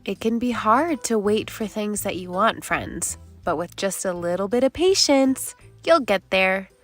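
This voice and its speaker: A woman hosting a children's read-aloud, giving expressive scripted storybook narration. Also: mid-range voice, measured pace. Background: music.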